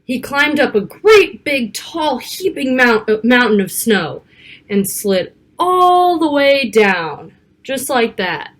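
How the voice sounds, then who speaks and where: A woman reading a picture book aloud to children, expressive, with casual asides about the pictures. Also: high voice, takes it slowly. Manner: child-directed, expressive, stretching key words and pointing out pictures.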